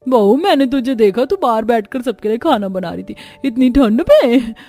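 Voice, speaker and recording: A woman voicing a kindly, approving older in-law in an animated family story. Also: fairly high pitch, moderate pace. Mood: kind approval.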